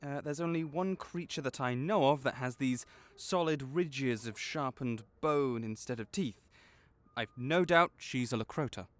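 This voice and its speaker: A man playing a gentle, caring vet for magical creatures in an audio roleplay, speaking in a measured, reassuring, explanatory way. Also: medium pitch, dry recording, lightly acted. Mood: knowledgeable, assured. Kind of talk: soft-spoken one-sided comfort roleplay.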